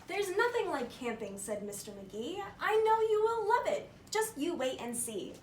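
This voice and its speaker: A woman reading a children's picture book aloud with expressive, slightly informal storytelling. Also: very high-pitched, normal speed, a little hiss or hum.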